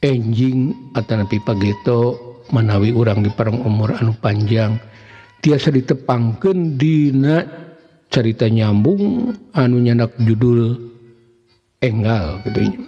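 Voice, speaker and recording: A man's voice: medium pitch, unhurried, long pauses. A man with a Sundanese accent narrating a folktale as a storyteller, in a dramatic style. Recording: muffled, long reverb, faint music behind.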